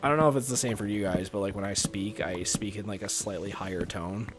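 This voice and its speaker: A man's voice: quick pace, over music. A young man with a North American accent streaming a game and chatting casually, rambling with lots of "like" and easy laughs. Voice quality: low natural voice, chill voiceover tone. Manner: rambling, many "like" fillers, laughs often. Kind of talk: casual livestream chat.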